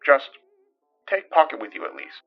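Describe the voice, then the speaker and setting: A man acts out a caring confidant in a fiction podcast, tactful and protective. He talks softly around things in a hesitant, reassuring, natural manner. The recording is slightly muffled, with faint music under it. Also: fairly high pitch, big pitch swings, normal speed, gravelly. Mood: concerned, coaxing. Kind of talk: naturalistic audio drama acting.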